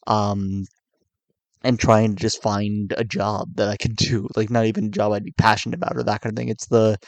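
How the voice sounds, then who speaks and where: Tired, raspy young man talking candidly. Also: dry recording. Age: 20s. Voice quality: low and raspy. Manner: hesitant, soft-spoken, many fillers and restarts. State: tired, coming down with a cold. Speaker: YouTuber. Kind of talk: candid confessional vlog.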